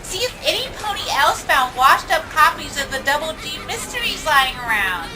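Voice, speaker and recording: A woman voicing an excitable, quirky young female pony, telling things theatrically with drawn-out, emphatic words. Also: sits high, music in the background. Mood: cheerful. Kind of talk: cartoon voice acting in a comic read-along. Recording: clearly noisy background.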